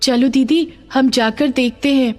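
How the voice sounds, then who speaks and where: A woman voicing a distressed, caring orphan village girl in an animated moral story, pleading and emotional. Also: high voice, measured pace, faint music behind, voice pushed up. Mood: anxious.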